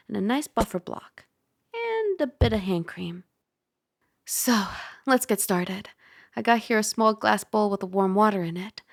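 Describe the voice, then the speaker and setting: A woman playing a caring, teasing young dragon girl roommate in a soft-spoken ASMR roleplay, gentle and conversational on a close mic. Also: medium pitch, vocal fry, dry recording. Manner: gentle, playful, conversational, with sighs and hushed asides. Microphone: close microphone.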